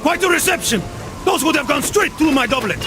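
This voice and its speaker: A man's voice: extremely high pitch, expressive, a little fast, over music. An actor playing a boastful, wisecracking and jumpy medieval crossbowman in a video game, with flippant, exclamatory banter. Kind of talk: video game dialogue acting.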